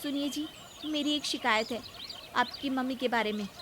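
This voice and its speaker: Woman acting a high-voiced, nagging young daughter-in-law, noisy recording. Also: lightly acted. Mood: complaining. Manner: pleading, insistent, quick to retort. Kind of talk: acted children's cartoon story.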